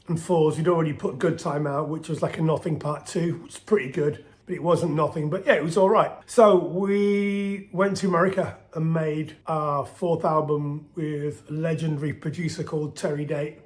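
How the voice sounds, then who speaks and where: A middle-aged man talks casually in a soft, slightly high voice. He rambles warmly through a long story, restarting sentences and leaning on fillers as he goes. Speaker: band singer telling its history. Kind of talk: casual spoken storytelling to camera.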